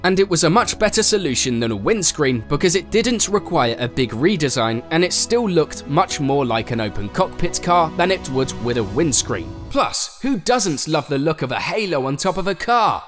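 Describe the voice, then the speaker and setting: A man's voice: on the high side, very animated, moderate pace, few pauses. A man narrating a scripted motorsport explainer as a voice-over, with playful, sarcastic asides. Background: faint background music.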